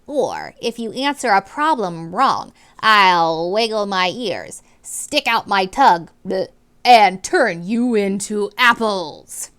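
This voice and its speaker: A mean woman schoolteacher from a children's storybook, acted out by a woman reading aloud. She is stern and menacing, with slow, deliberate threats. Her pitch swings widely. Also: sing-song, a bit bright, clean tone.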